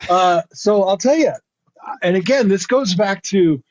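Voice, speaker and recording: A middle-aged man, a former football lineman, co-hosting a casual podcast and telling a rambling story, often laughing. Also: unhurried. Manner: rambling storyteller, laughs often, jumps pitch when excited. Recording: slightly dull lo-fi sound.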